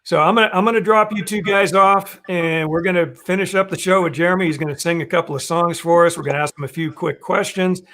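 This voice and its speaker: A hoarse man hosting a casual live-stream show, rambling a little and reading out viewer comments. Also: high-pitched, steady tone, fast, hardly any pauses, sharp tone. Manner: rambling, laughs often, reads viewer comments. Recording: faint background noise.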